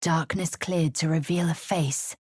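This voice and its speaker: Haughty alien warrior lady for a game, raspy kinda low voice, clipped and bossy, super dry studio sound. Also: fairly even intonation, unhurried, no echo. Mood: grim, narrating. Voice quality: husky, raspy. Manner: clipped, imperious, disdainful, formal diction. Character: fierce, haughty alien Githyanki woman warrior. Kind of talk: video game character acting.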